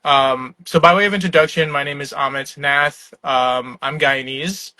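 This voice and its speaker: A young man from New York speaking on a live video call, his voice bright and forceful, a little higher than most men's. He talks in a relaxed, rambling way, full of "um" and "like," and laughs now and then. The sound is dry and close. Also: normal speed, dry recording. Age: young adult. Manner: laid-back, filler-heavy, laughs often. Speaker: startup founder, guest. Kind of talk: casual conversational interview. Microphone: online live video call.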